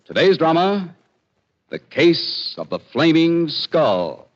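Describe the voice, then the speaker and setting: Animated old-time radio announcer man. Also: on the high side, slow pace, loud music behind the voice. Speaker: announcer and narrator. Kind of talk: dramatic 1940s radio scripted narration. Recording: muffled 1940s radio recording.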